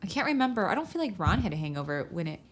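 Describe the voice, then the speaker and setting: A woman's voice: on the low side, very animated, very fast. A woman co-hosting a comedy podcast in casual banter, with a giggly, playful manner. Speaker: podcast co-host.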